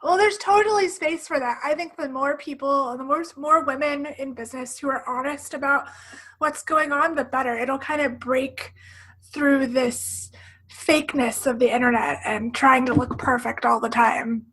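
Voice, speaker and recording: Bright, high-voiced woman podcast host. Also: steady tone, moderate pace, poor audio. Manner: chatty and encouraging. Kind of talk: casual interview.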